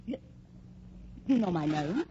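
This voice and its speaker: A woman's voice: lowish voice, big pitch swings, slow, mellow. An actress playing an indignant, calculating young American woman in an old radio crime drama, haughty and protesting. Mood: wary. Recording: dull old radio recording, faint hum.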